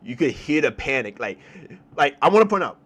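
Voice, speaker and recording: A young man with a New York accent telling a story in a casual, slangy, animated way, repeating phrases for emphasis. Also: medium pitch, fairly quick. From New York City, United States. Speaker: storytelling streamer.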